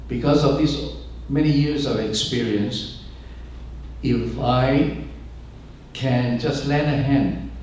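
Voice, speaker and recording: An older man, a pastor whose first language is Chinese, speaking to an audience in a large room, pausing often to search for words. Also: mid-range voice, a little mellow, very echoey, distant mic, a little hiss or hum, poor audio. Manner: halting, searches for words with many fillers. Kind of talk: speech to an audience.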